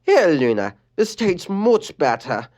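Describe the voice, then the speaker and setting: A man's voice: high-pitched, very animated, slow pace, a little mellow, pitched above the performer's own voice, heavily acted. A man reading a fan story, voicing a pushy, sarcastic teenage bandmate.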